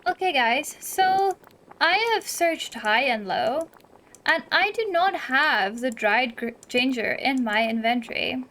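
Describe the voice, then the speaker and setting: A young woman doing casual, chatty let's play commentary, thinking out loud as she goes. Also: high voice, animated, slow. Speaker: gaming YouTuber.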